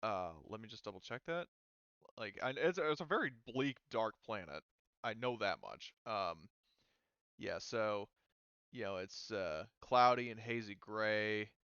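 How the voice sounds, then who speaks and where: A man with a low, resonant voice runs a tabletop roleplaying game. He narrates and rules informally, in a casual conversational style. The sound is dry and a little dull. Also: expressive, a little slow, bright, forceful tone, smooth, no echo. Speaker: game master.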